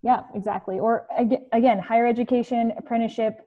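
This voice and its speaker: A woman's voice: fairly high pitch, fairly even intonation, fast, narrow, tinny audio. A young woman coaching students on a video call from her living room, chatty with many fillers. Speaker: career coach. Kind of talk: casual online presentation. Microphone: earbud headset on video call.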